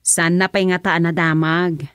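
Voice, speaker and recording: A woman's voice: on the low side, little pitch movement, measured pace, fairly bright tone, slight background noise. A woman acting a concerned, gossiping friend in an Ilocano radio drama.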